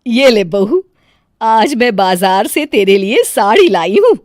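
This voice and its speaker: Woman acting animated, fretful middle-aged mother-in-law. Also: fairly high pitch, slow, no echo, studio quality. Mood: pleased, doting. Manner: expressive, emphatic, exclaiming. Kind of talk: dramatised Hindi moral story.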